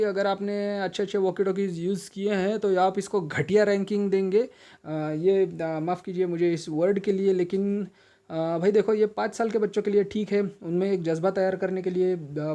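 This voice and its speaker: An Indian man with a rather high voice and a relaxed, dark-edged tone hosts a casual product unboxing. He explains things step by step in an instructional way, hesitating as he goes and restating his advice. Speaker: video host and product reviewer.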